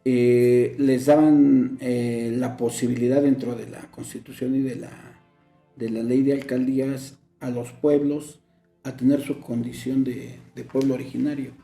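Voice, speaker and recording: A Mexican man, a local legislator, explaining his political views in a measured way. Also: medium pitch, little pitch movement, vocal fry, dark tone, soft background music. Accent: Mexican Spanish. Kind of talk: political conversation. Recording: roomy echo.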